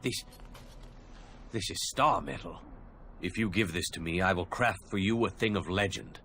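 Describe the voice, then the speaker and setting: An actor playing a proud dwarf blacksmith who speaks in a grave, formal way. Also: male voice, deep voice, moderate pace, a bit bright, slight background noise. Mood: solemn. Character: proud solemn male dwarf smith. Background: game music.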